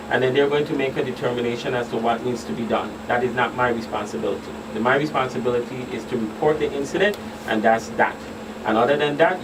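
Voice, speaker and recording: A man explaining things in a measured way as the expert guest on a radio talk show. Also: medium pitch. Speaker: guest expert, professional counselor. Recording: distant mic, clearly noisy background.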